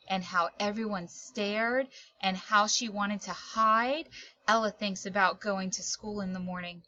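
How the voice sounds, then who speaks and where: A woman storyteller reading a children's picture book aloud in expressive narration, with dramatic, deliberate phrasing. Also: mid-range voice, lo-fi sound.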